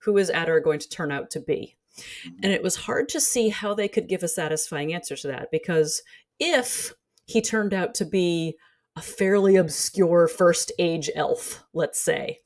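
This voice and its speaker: Low-pitched woman giving thoughtful analysis. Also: a little mellow. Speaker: guest scholar and commentator. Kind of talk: conversational analytical commentary.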